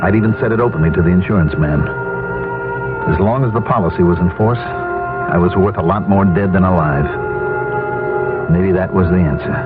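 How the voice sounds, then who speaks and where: An actor playing a middle-aged American suburban husband, weary and secretly desperate, in a 1970s radio drama. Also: male voice, sits low, normal speed, long pauses, lightly acted. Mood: brooding, anxious. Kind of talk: 1970s radio drama acting and first-person narration. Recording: muffled old radio broadcast with loud music.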